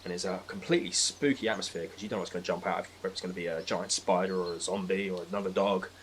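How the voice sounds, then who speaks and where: A man talks quickly in a fairly low voice as a guest in a casual discussion. His delivery is informal and descriptive. There is some noise in the background. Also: fairly even intonation. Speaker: podcast guest. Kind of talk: casual film and game chat.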